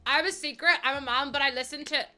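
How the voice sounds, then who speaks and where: A woman's voice: high-pitched, quick pace, faint music behind. A woman livestreaming, chatting casually with her viewers and laughing often. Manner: chatty, laughs often, greets chat warmly. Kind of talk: casual chat with stream viewers.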